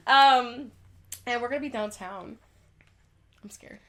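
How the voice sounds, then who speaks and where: A woman's voice: a little high, slow pace, a bit bright. Congested young Philly woman, chatty. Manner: chatty, laughs often, lots of "like". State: congested, dry throat. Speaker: podcast co-host. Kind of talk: casual chat.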